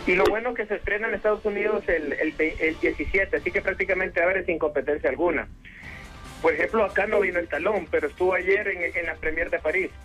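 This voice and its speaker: A man's voice: high voice, a little fast, a bit bright, soft background music, poor audio. A man from Honduras speaking as a correspondent on a film show, giving a casual, conversational report.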